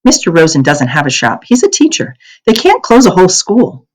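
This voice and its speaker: A woman's voice: fairly low pitch, wildly swooping pitch, a little fast. A woman reading a children's novel aloud, voicing an eager, indignant ten-year-old schoolgirl with an earnest, questioning way of talking. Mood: worried.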